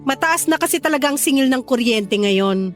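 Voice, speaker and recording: A thrifty, middle-aged Filipina neighbor in a radio play. She needles with sly mock sympathy in a very high voice that swings widely, over faint music. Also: expressive, a little fast. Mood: sly, skeptical. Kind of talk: radio drama dialogue.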